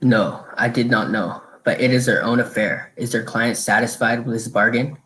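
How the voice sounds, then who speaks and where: Man playing chief inspector, radio drama, proud, suave, deliberate, condescending. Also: mid-range voice, bright, forceful tone, close to an everyday voice, low-quality recording. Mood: haughty, dismissive.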